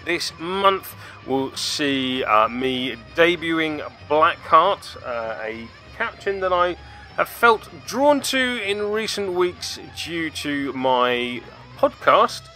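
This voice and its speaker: A man's voice: a little high, very animated, slow, bright, forceful tone, faint music behind. A man hosting a web series, giving jokey, self-deprecating commentary on tabletop games with theatrical turns of phrase. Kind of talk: tabletop game video commentary.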